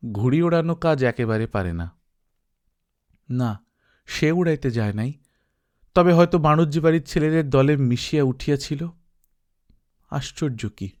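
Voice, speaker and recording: A man narrating a literary story as an audiobook, reading expressively and reflectively with dramatic pauses. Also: medium pitch, darkish tone.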